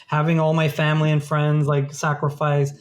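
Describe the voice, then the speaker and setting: Man, fairly high voice, podcast co-host, casual, lots of fillers, loose chat. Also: monotone, normal speed. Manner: loose, filler-heavy talk with frequent "like" and "um".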